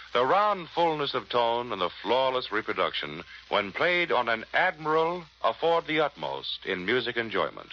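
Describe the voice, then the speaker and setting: A man's voice: lowish voice, pushed and bright. An American man announcing a 1940s radio advertisement in a polished, salesmanlike way. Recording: muffled vintage 1940s radio.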